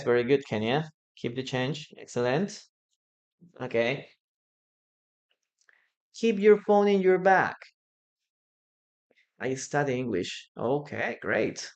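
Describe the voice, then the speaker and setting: Slow, encouraging English teacher. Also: mid-range voice. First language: Spanish. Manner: encouraging, repeats phrases; slow, careful delivery. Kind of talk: live online class.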